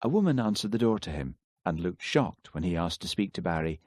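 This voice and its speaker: Low-voiced man narrating an audiobook. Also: big pitch swings, brisk, a little mellow, no room sound, studio quality. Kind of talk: scripted narration.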